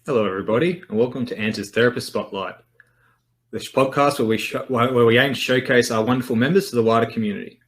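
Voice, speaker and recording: An upbeat man in his thirties hosts an interview, encouraging his guest along. His voice sits at a middling pitch. He talks through a headset mic on a video call. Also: fast talker. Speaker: podcast host. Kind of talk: friendly interview hosting.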